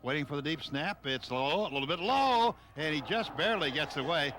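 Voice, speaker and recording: A man's voice: fairly high pitch, fairly quick. A man doing live play-by-play of a football game on the radio, describing the action in clipped bursts over a noisy outdoor stadium. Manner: clipped running description, excitable on big plays.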